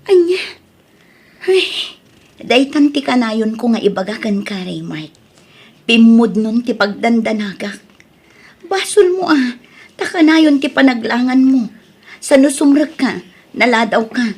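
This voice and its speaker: A woman's voice: fairly high pitch, lively intonation, a little slow, pauses often, darkish tone, husky, no echo, full character voice. A woman with an Ilocano Filipino accent playing a stern, nagging wife in an audio drama, scolding and emphatic. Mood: worried scolding. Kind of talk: radio-style audio drama acting.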